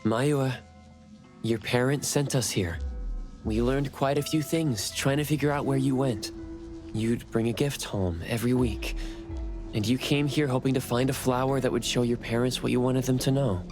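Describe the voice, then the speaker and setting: A man's voice: medium pitch, moderate pace, music in the background, close to an everyday voice. An actor playing an earnest, perceptive young male hero in a video game. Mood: earnest, coaxing. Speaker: main character. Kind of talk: English video game voice acting.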